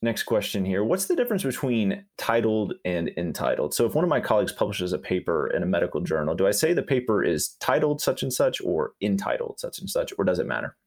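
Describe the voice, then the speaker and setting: A man's voice: deep voice, very animated, fairly quick, few pauses, darkish tone. A man, a doctor hosting, asking grammar questions in an earnest way with light humor. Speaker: physician host. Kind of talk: podcast interview questions.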